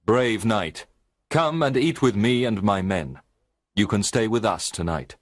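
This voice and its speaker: A man's voice: fairly low pitch, expressive, a little slow, no echo, studio quality. A man voicing a brave young outlaw hero, earnest and firm in a storybook reading. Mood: friendly. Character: bold male outlaw hero, helpful and brave.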